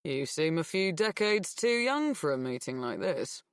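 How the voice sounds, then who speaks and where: A woman voicing a polite, guarded young male police officer in an audiobook, in a measured, official way. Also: low voice, sing-song, smooth, no echo, studio quality. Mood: casual.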